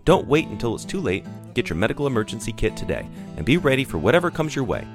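A man reading a scripted infomercial sales pitch as an advertising announcer. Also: sing-song, fast. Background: plucked guitar music.

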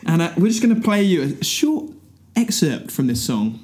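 A man with a slightly high, very lively voice co-presents a casual chat. He banters playfully and drops wry little remarks. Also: normal speed.

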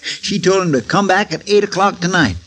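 An actor playing an earnest, homespun sidekick to a frontier marshal in a 1950s radio drama, talking in a plain drawl. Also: male voice, high-pitched, expressive, a little fast, fairly bright tone. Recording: hissy old radio broadcast.

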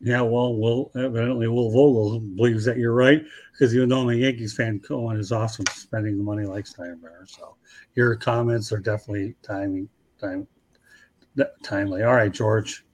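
A slightly hoarse man hosting a casual sports talk show, chatty and jokey as he hands the floor to callers. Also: medium pitch, steady tone, normal speed, a little mellow. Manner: chatty, jokey, moves the panel along.

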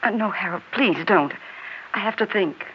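A woman's voice: medium pitch, lively intonation, moderate pace, lightly acted. Woman acting an anxious, suspicious mistress, muffled old recording. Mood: afraid. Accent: American. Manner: hesitant, uneasy, pleading. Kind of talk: 1960s radio drama acting. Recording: muffled, hissy 1960s radio recording.

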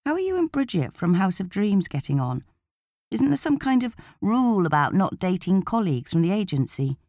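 A single woman nearing forty, weary but wry and chatty as a female audiobook reader plays her. Her tone is soft and dark. The sound is dry, without room echo. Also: mid-range voice, sing-song, fairly quick. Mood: curious.

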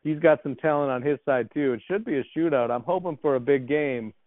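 A man's voice: fairly high pitch, a little fast, no room sound. A man co-hosting a sports podcast, chatting and joking with his partner. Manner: joking, banter. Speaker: podcast co-host. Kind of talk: casual sports podcast chat. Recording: tinny phone-like dry sound.

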